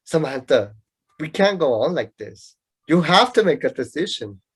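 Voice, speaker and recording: A man's voice: on the high side, very animated, moderate pace, slightly rough, full character voice. A man playing a competitive young student in a radio play, explaining things to show off his knowledge. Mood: pleading. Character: competitive know-it-all young male student rival in love.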